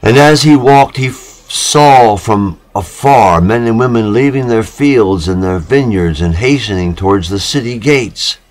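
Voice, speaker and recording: A man giving a solemn literary reading, measured and dramatic, with pauses between short phrases. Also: fairly low pitch, lively intonation, poor audio. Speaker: reader of poetic literature.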